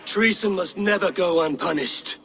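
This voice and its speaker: An actor playing a steadfast, stern Viking warrior in a video game, speaking in a measured, solemn and formal way. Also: male voice, sits high, a bit bright.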